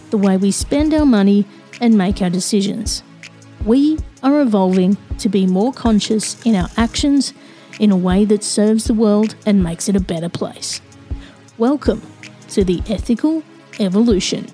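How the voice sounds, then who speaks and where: Australian woman podcast host, mellow and warm, earnest measured read, soft music behind. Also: medium pitch. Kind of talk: scripted podcast intro and interview questions.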